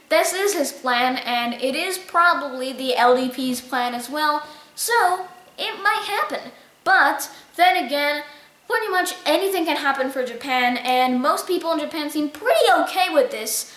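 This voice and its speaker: A woman's voice: high-pitched, fairly bright tone, roomy sound. A woman hosting a casual weekly news review, chatty and loose, with frequent fillers.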